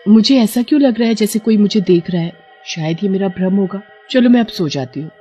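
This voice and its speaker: A gentle cartoon mother, played by a woman, attentive to her family. Her voice is soft-toned with a slightly breathy grain. It sits over music in a dull recording. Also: medium pitch, expressive, brisk, voice pushed up. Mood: uneasy. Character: caring young mother, gentle and reassuring. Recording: dull lo-fi audio with music behind.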